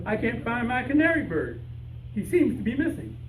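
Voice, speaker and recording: A man's voice: very high-pitched, very animated, normal speed. Naive, hesitant radio-comedy sleuth, male actor; swooping delivery, noisy distant recording. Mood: flustered. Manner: hesitant, stammering, earnest. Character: anxious, naive male amateur detective hunting his lost canary. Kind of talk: old-time radio comedy acting. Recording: echoey room from far off, noisy.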